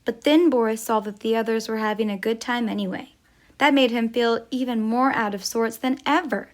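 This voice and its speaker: A woman's voice: a little high, moderate pace. A woman storyteller reading a picture book aloud for children, as a teacher would.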